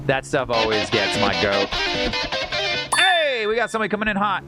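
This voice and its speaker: A man's voice: fairly high pitch, wildly swooping pitch, normal speed. Rambling, stammering male game streamer over music. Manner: rambling, stammering, repeats words and restarts often; informal, profane and slangy. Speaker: livestream host playing a video game. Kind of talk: casual livestream chat and game commentary.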